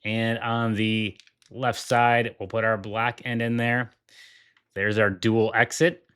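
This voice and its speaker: A man's voice: fairly low pitch, little pitch movement, takes it slowly, fairly bright tone, smooth. A man hosting a tech video, walking through a product demo with enthusiasm and repeating his points for emphasis. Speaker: tech reviewer.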